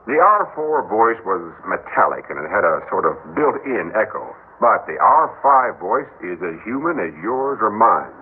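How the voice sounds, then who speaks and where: An actor playing a persuasive, smooth-talking male head of a robot company in an old radio drama. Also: fairly low pitch, sing-song, normal speed, hardly any pauses, exaggerated voice. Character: suave middle-aged male robot-company chief. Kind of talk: 1960s radio drama acting. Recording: tinny old radio broadcast with hiss.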